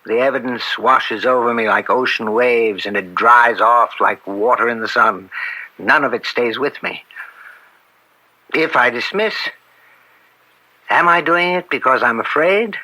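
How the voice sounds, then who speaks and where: A man acting in an old-time radio drama as an upright, conflicted middle-aged American judge, speaking gravely and deliberately with theatrical weight. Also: medium pitch, bright, forceful tone, low-quality recording. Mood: anguished. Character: anguished, upright judge and father, bitter and conflicted.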